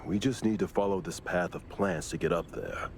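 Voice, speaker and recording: Very deep, gravelly, cautious game companion. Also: male voice, animated, moderate pace, slight background noise. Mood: guarded. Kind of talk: video game character dialogue.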